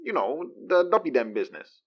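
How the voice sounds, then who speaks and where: An actor playing a curious, hesitant companion in conversational audio drama, speaking haltingly. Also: mid-range voice, very animated, breathy edge, dull audio. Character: curious, hesitant companion angling to learn supernatural craft.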